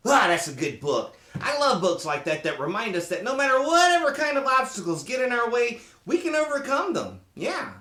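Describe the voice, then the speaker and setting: A woman's voice: fairly high pitch, sing-song, normal speed, few pauses, fairly bright tone, echoey room, voice pushed up. A woman voicing a friendly mule who loves books, talking to children in a folksy, encouraging way. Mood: encouraging, affectionate. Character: friendly, folksy male mule book lover. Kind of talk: cheerful sign-off to child viewers.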